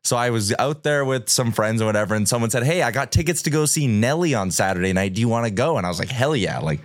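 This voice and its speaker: A man hosting a casual sports podcast, hoarse and raspy after a weekend of partying, talking in a laid-back, joking way. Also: mid-range voice, expressive, quick pace, no room sound, clean studio sound. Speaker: podcast host.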